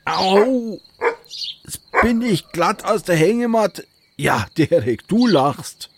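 A man's voice: sits high, expressive, takes it slowly, vocal fry, slight background noise, full character voice. An actor playing an easygoing solitary man who mutters and exclaims to his dog in a German radio play. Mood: puzzled.

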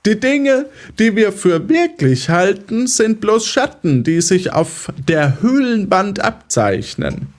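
A man's voice: high voice, big pitch swings, takes it slowly, few pauses, full character voice. A man playing a patronizing, controlling psychiatrist in an audio drama, lecturing in a didactic, condescending way. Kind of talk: German audio drama acting.

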